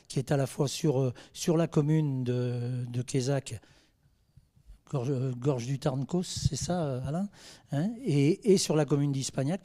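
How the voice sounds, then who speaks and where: A man speaking off the cuff as a mayor on a panel, haltingly, often repeating words as he searches for the next. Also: mid-range voice, dark tone. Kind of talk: spontaneous panel answer.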